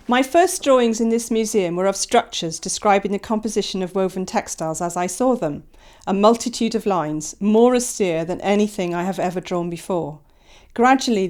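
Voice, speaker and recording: A middle-aged woman from Wales reads a scripted voice-over in a calm, measured way. Her tone stays reflective and unhurried, as an artist talking through her own pieces. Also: mid-range voice.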